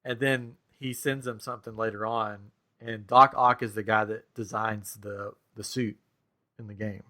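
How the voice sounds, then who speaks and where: Bright-voiced man chatting casually. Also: lowish voice, steady tone, moderate pace. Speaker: podcast host. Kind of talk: casual chat about comics and video games.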